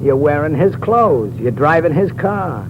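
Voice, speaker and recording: An actor playing a sly, needling ship's purser turned blackmailer in a 1950s radio drama. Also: male voice, fairly high pitch, lively intonation, measured pace. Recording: muffled old radio broadcast with loud hiss.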